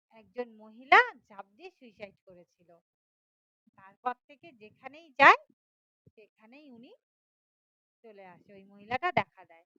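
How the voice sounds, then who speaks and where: A woman voicing a rickshaw puller who explains a local haunting in a hushed way within a story. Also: high voice, dry recording, lo-fi sound, full character voice.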